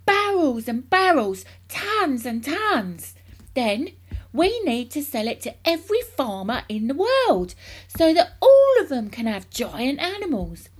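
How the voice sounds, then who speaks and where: A woman voicing a wildly excited, bossy father from a children's storybook, ranting about grand schemes in emphatic, impatient exclamations. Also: high-pitched, slow pace, voice pushed up, heavily acted. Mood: feverishly excited.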